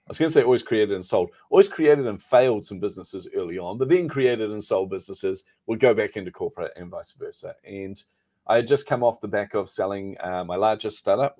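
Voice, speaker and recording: Middle-aged New Zealand man, low-pitched guest voice, chatty and self-deprecating. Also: a little mellow. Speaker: podcast guest, marketing coach. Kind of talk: relaxed interview storytelling.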